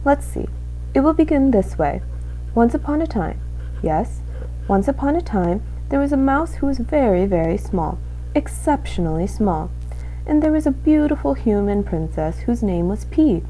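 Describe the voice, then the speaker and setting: A woman voicing a tiny male mouse in a storybook, timid yet brave, talking earnestly and haltingly to himself. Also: a little high, big pitch swings, dark tone, a little hiss or hum, pitched above the performer's own voice, low-quality recording. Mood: hushed resolve. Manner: halting, earnest self-talk.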